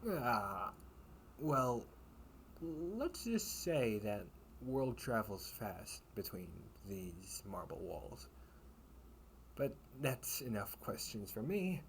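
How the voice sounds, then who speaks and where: Man acting a male knight captain, kindly mentor, gently teasing, courtly wording, mid-pitched, slow pace, audio roleplay. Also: big pitch swings, full character voice. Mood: amused, apologetic. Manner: courtly, old-fashioned phrasing with gentle teasing. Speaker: amateur audio roleplay performer. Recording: clearly noisy home recording with ambience.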